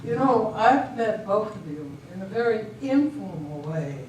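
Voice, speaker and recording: A woman in an amateur stage reading, playing a composed, decisive government official with a measured, formal delivery. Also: mid-range voice, animated, a little mellow, close to an everyday voice. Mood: firm, formal. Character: composed, decisive female official judging a border dispute. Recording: echoey hall, distant mic, faint noise.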